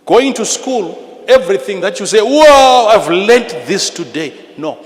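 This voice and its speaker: A man with a Zimbabwean accent preaching a sermon in an emphatic, repetitive, teacherly manner, swinging between hushed and loud delivery. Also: sits high, expressive, a little slow. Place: large church hall with PA. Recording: live in a large reverberant hall, noisy.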